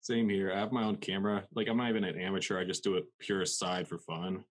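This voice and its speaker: A man's voice: sits low, flat delivery, fast. A male podcast host chatting casually into a studio mic, laughing often and saying "like" a lot. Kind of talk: casual interview chat. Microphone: dynamic studio microphone.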